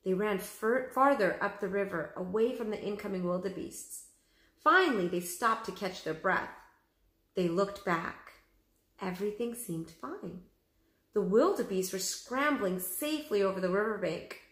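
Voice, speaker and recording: A woman's voice: mid-range voice, animated, a little slow, a bit bright. A woman teacher reading a children's story aloud expressively, addressing young pupils. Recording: echoey room.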